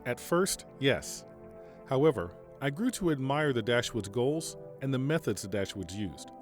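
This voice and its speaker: A formal, unhurried mercenary general, voiced by a man for an audio drama. His speech is measured and calm, yet his pitch moves animatedly, and soft music plays underneath. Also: natural voice. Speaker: military general.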